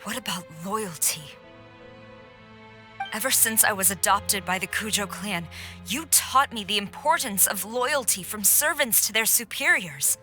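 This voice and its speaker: Stern, formal young general. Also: female voice, mid-range voice, animated, a little slow, sharp tone, soft background music. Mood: anguished. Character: stern, loyal young woman general, steely. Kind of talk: video game voice acting.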